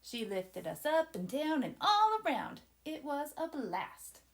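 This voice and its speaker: A woman voicing an excited, adventurous young boy who eagerly and dramatically narrates a children's storybook to his listeners. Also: high-pitched, slow, pitched above the performer's own voice, heavily acted. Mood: excited storytelling. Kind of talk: children's storybook read-aloud.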